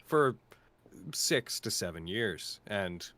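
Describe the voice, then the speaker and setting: A man with a lowish voice speaks in a casual panel chat. He is earnest and heartfelt, wandering through asides and qualifications as he talks. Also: lively intonation, takes it slowly, sharp tone. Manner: earnest, rambling. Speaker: cast member of an audio drama.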